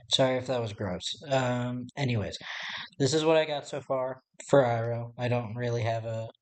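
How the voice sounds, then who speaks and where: A man with a raspy voice, chatting casually and hesitantly to his live-stream viewers while he draws. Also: medium pitch, unhurried, pauses often. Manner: rambling and hesitant, talking to himself while working. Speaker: artist livestreaming his drawing. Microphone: desk microphone.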